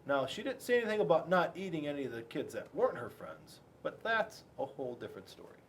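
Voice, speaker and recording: A man reading a storybook aloud to children in an expressive, chatty way, addressing his young listeners directly. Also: a little high, fast, slight background noise. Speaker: police school resource officer reading to children.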